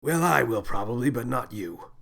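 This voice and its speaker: A man voicing an adult male spaceship captain in a children's sci-fi story, grave and sorrowful but excitable when alarmed. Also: medium pitch, normal speed, vocal fry. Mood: grave, sad. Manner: dramatic, brooding, blurting when agitated.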